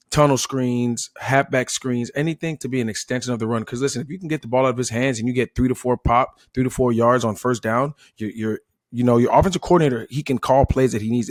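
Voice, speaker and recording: A man's voice: mid-range voice, no echo. A man from Florida giving conversational football analysis like a coach, emphatic and checking in with rhetorical questions. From Florida, United States. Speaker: former player turned football analyst.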